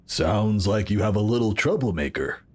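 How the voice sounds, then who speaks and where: An actor playing the calmly authoritative founder of a sci-fi organization in an audio drama, speaking instructively. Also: male voice, lowish voice, normal speed, no room sound. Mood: composed, businesslike.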